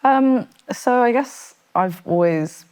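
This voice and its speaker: A woman's voice: fairly high pitch, lively intonation, slow, darkish tone, clear, resonant tone. A woman from Britain, a fashion photographer, talking casually as a podcast guest and chatting in a self-deprecating way with many fillers.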